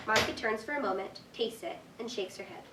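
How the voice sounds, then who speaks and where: Casting: female stage-direction narrator, slightly high voice, live read with strong room echo. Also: quick pace, pushed and bright. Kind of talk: scripted narration at a staged reading. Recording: echoey room, distant mic, faint noise.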